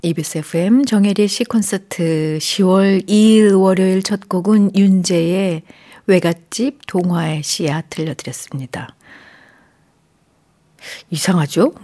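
A woman radio DJ hosting her show, talking gently and conversationally to listeners from a studio. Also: on the low side.

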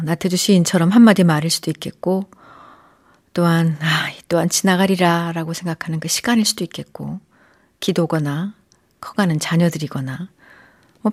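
A middle-aged woman hosting a radio show in a studio, chatting gently with listeners and often softening into a breathy near-whisper. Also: lowish voice. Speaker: radio host. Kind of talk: warm radio chat reading listener messages. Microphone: studio microphone.

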